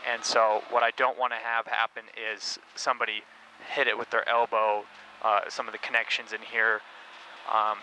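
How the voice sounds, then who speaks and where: A man's voice: lowish voice, moderate pace, low-quality recording. A man talking casually and informally as he explains and reviews gear for a car vlog. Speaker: host of a car-build video channel. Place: inside a loud race car cabin. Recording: steady vehicle noise behind voice.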